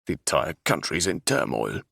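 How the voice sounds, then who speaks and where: Man doing a gruff older sea captain. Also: very deep voice, wildly swooping pitch, normal speed, a bit bright, no echo, studio quality, heavily acted. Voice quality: gruff, growling. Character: older gruff male ship captain, wary.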